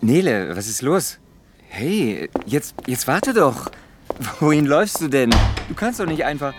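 Chummy boss, very animated. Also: male voice, medium pitch, a little slow, faint music behind. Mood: concerned. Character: chummy, baffled male boss, overly upbeat. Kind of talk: radio drama dialogue.

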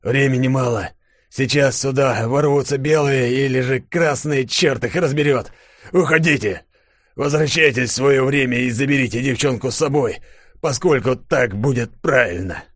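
A man playing a dying spymaster father in an audiobook drama, hoarse and earnest, commanding yet remorseful. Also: mid-range voice, moderate pace, no echo, voice pushed up, lightly acted. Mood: urgent, commanding.

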